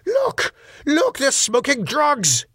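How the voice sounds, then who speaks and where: A man's voice: on the high side, big pitch swings, fairly quick, creaky, fairly bright tone, breathy edge, dry recording, studio quality, pitched above the performer's own voice, exaggerated voice. A man playing a pompous, furious club owner. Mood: outraged. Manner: pompous, snapping, outraged. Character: pompous, hostile older male ballroom owner.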